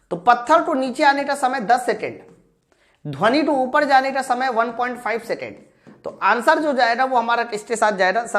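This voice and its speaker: A woman teaching physics in an online class, informally addressing students, asking questions and answering them herself. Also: fairly high pitch. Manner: asks questions and answers them herself, repeats key lines. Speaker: physics teacher for exam preparation. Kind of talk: live online class, explaining problems step by step.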